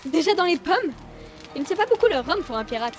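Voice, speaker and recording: A woman's voice: extremely high pitch, very animated, fast, faint music behind. A woman playing a haughty, scornful young lady. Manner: scornful and sarcastic. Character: haughty young noblewoman, sharp-tongued. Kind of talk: comic amateur audio drama.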